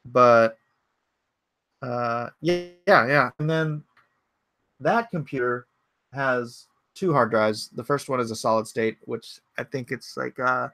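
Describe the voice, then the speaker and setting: A man's voice: mid-range voice, slow, pushed and bright, no echo. A man in his forties hosting a casual livestream from his home computer, rambling and thinking aloud as he answers chat comments. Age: 40s. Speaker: livestream host.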